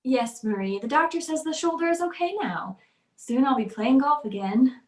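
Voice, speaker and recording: An actress plays an anxious wealthy wife in an old-style radio thriller. Her voice sits high and pleads earnestly for belief. The sound is noticeably roomy. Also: animated, moderate pace. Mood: calm, chatty. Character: anxious wealthy wife convinced her husband wants her dead. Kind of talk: old-time radio drama acting.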